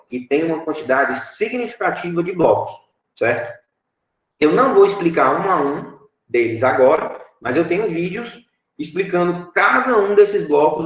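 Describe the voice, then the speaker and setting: A man in his thirties with a Brazilian Portuguese accent, a teacher explaining app-building blocks in an online class, often pausing with fillers and checking in with his students. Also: a little high. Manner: explanatory, frequent filler "é" and tag "certo?". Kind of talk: online class screen-share tutorial. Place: home room, indoors. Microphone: webcam video call. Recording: echoey room.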